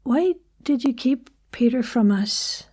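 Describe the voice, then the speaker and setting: Impatient, high, mellow-toned ally. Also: female voice, animated, takes it slowly. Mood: accusing. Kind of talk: audio drama acting.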